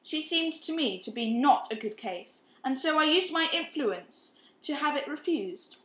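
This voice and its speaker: A woman's voice: sits high, animated, unhurried, fairly bright tone. A woman acting a haughty, unrepentant middle-aged upper-class matron in an amateur radio play, imperious and self-righteous. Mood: haughty, defiant. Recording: roomy, echoing amateur recording.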